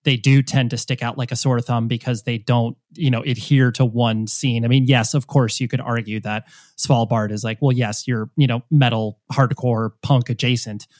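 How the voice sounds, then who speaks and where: A man's voice: mid-range voice, fairly even intonation, normal speed, hardly any pauses, a little mellow. A man hosting a podcast interview, talking in long rambling turns full of restarts and fillers. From United States. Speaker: podcast host. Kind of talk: casual interview chat.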